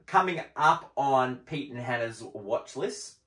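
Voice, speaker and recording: A man's voice: medium pitch, expressive, slow, fairly bright tone. A man hosting a casual film review show, chatting and bantering with a co-host on a live stream. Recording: echoey room.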